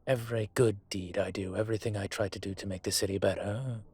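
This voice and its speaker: A man playing a suave, teasing dragon mafia boss in an intimate roleplay audio, speaking deliberately with a husky voice. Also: lowish voice, fairly even intonation, darkish tone. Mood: gentle, earnest. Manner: smooth-talking, deliberate, teasing.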